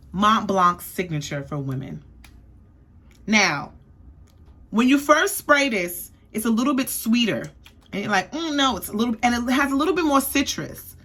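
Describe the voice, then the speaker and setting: A woman's voice: on the high side, bright, forceful tone. A young woman from the East Coast giving a chatty, sassy perfume review, often checking in with "okay?". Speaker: beauty vlogger.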